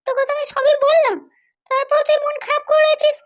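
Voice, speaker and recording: A woman's voice: very high voice, level tone, fast talker, lo-fi sound. A woman voicing a doting but bossy mother in a cartoon, fretful, insistent and nagging. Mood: worried, coaxing. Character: doting, anxious, bossy mother of a grown son. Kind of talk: cartoon dialogue.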